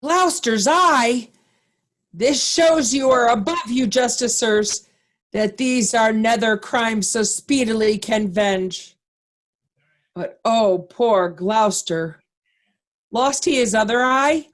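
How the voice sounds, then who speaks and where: A woman playing a morally outraged nobleman in a Shakespearean play reading, with grave, measured, condemning delivery. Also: a little high. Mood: shocked, sorrowful. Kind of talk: Shakespearean reader's theatre.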